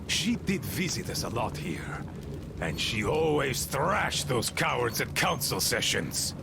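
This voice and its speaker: Gravelly, bitter old boat commander. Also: male voice, mid-range voice, big pitch swings, measured pace, over music. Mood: grudging, sardonic. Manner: theatrical, grumbling, rambling. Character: bitter, commanding, eccentric old boatman called Admiral. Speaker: game character, leader of a small river crew. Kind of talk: dramatic video game monologue.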